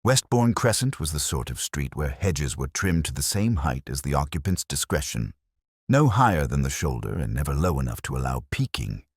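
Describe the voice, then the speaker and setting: Very deep-voiced male mystery narrator. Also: very animated, normal speed, slightly rough, no room sound, clean studio sound. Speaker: narrator and reader. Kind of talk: scripted story narration of a detective mystery.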